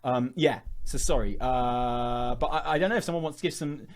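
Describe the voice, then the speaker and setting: A man's voice: medium pitch, moderate pace. A young man hosting his channel, talking casually on a livestream, rambling and joking with many restarts. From England. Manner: rambling, joking, self-interrupting. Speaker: channel host.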